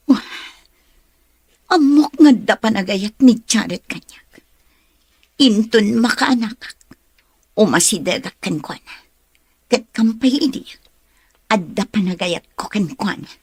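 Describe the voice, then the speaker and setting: A woman plays an aggrieved, scheming woman in a romantic radio-style drama. Her voice is high, with an Ilocano Filipino accent, recorded close and dry. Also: husky, no echo, exaggerated voice. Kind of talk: Ilocano audio drama acting.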